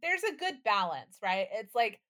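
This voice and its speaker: A woman's voice: mid-range voice, very animated, moderate pace, a bit bright. Wry woman bantering as podcast co-host. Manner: wry, quippy. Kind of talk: casual banter.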